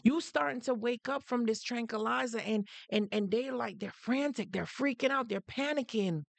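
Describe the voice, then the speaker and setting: A woman's voice: a little high, normal speed, creaky, no room sound. Jamaican woman talking straight to viewers. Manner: emphatic, repeats phrases, greets viewers mid-sentence. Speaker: livestream host. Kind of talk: casual livestream talk to viewers.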